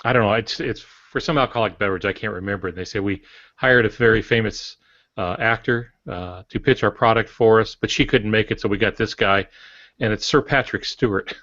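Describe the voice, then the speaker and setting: An older man, a veteran author, talking casually on a podcast with dry, measured humour. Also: fairly low pitch, a bit bright, no room sound. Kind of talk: casual conversation. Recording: dull close lo-fi audio.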